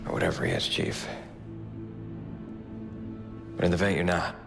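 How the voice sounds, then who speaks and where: A man's voice: low-pitched, big pitch swings, normal speed, vocal fry, over music, exaggerated voice. A man playing a grave, loyal military officer in a video game cutscene, speaking earnestly.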